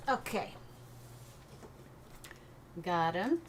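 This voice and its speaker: A woman's voice: lowish voice, very animated, very slow. A woman from England with a blended English and American accent, casually thinking aloud as she hosts a live art tutorial. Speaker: art livestream host. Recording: noticeable background noise.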